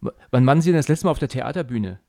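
A man's voice: mid-range voice, animated, very fast, warm tone, dry recording, studio quality. A man hosting an interview, asking questions hesitantly with many fillers and agreeing often. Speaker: interviewer, host. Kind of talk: interview, casual chat.

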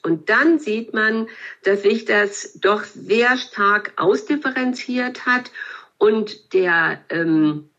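A woman in her seventies, a guest expert answering interview questions in a thoughtful, measured way with frequent hesitations. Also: medium pitch, muffled recording. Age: 70s.

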